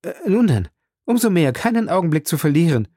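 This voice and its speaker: A reader voicing an excitable, loyal male French manservant who talks in an indignant, emphatic way and is growing tipsy. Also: medium pitch, fairly quick, dry recording, studio quality, pitched above the performer's own voice.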